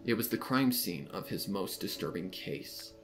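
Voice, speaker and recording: A man's voice: low voice, expressive, normal speed, creaky. A man reading fan fiction aloud as a dramatic, scripted story narration. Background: background music.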